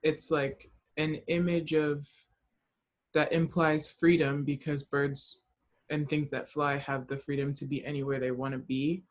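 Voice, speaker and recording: A woman's voice: sits low, flat delivery, measured pace. A woman taking part in a book discussion, speaking in a casual, reflective way and relaying comments.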